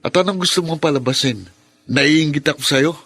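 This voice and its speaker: Radio serial casting: bitter, accusing kid brother, Filipino accent, moderately high voice. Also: male voice, normal speed, creaky, a bit bright, husky, dry recording, heavily acted. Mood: accusing, resentful. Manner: confrontational. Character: bitter, serious, resentful younger brother. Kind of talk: Filipino radio drama acting.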